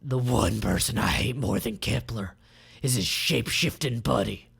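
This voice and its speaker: A man voicing an angry, vengeful villain tycoon in a forceful, menacing, dramatic way for a comic read aloud. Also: mid-range voice, moderate pace, vocal fry, husky, exaggerated voice. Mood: cold, menacing.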